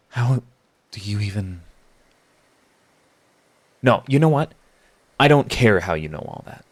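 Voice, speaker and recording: Casting: male actor as prickly, begrudgingly charming villain for audio roleplay. Low register, sardonic, theatrical teasing. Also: a little mellow. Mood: mocking irritation. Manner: sardonic, theatrical teasing, drawn-out mocking delivery. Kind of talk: scripted ASMR roleplay audio drama.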